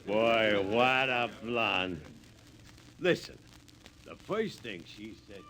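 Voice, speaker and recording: A man's voice: lowish voice, lively intonation, extremely slow, exaggerated voice. An actor playing a boorish, boastful drunken spaceman, swaggering and slangy, in a 1950s radio drama. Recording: noisy old radio recording.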